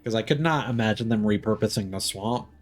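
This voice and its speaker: A man's voice: fairly low pitch, fairly quick. A man giving casual live commentary as a game streamer, rambling and musing aloud.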